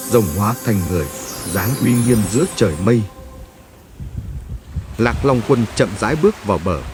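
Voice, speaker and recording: A man reading a scripted legend as a storyteller, in a solemn, measured manner. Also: on the low side. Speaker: narrator.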